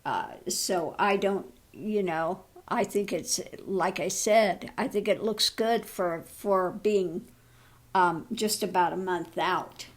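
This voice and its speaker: A woman in her early seventies chatting casually and haltingly, with good humour, in a lowered, easily tired voice. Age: early 70s. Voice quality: voice lowered and easily tired after thyroid surgery. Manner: good-humoured, laughs at her own troubles. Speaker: online vlogger and tarot reader. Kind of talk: casual personal chat.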